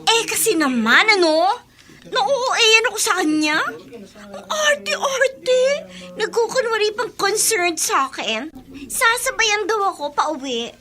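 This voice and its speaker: A snobbish rich schoolgirl with a high, swooping voice. She is whiny and haughty, exaggerating her disdain in radio drama style. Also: sing-song, slow pace, pushed and bright, full character voice. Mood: scornful. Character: snobbish, bratty rich third-year schoolgirl. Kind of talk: Tagalog radio drama acting.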